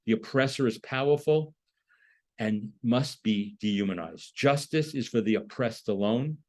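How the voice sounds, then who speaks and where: A man's voice: mid-range voice, lively intonation, measured pace, a little mellow. A man lecturing in an explanatory, emphatic manner, posing rhetorical questions as he goes. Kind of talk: lecture on a political topic.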